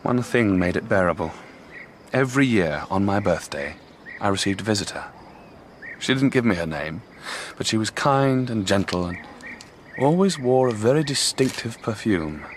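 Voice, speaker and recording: An actor playing an earnest, troubled detective burdened by a secret in a radio drama, intense and hesitant. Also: male voice, on the low side, lively intonation, moderate pace, a little hiss or hum, close to an everyday voice. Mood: grave, wistful.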